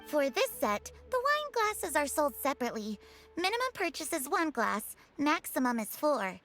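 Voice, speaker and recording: A woman's voice: high voice, very animated, moderate pace, fairly bright tone, soft background music, heavily acted. An actress voicing a polite, shrewd female shopkeeper who pushes a sale. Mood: salesy. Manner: courteous salesy patter. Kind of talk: game voice acting.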